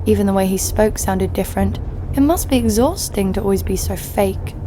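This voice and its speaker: A woman's voice: medium pitch, normal speed, noisy background, natural voice. An actress playing a cheeky, sardonic female thief and killer, narrating her own escapades in an audio drama. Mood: wry. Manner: wry, sardonic. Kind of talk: first-person audio drama narration with acted dialogue. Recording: loud music bed under voice.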